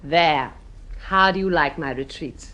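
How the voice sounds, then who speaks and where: An actress with an English accent playing a poised, teasing woman in love in an old-fashioned radio drama, with a coquettish, brisk manner. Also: low voice, a bit bright, a little hiss or hum. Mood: bright, flirtatious. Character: poised, teasing woman luring her adoring lover along.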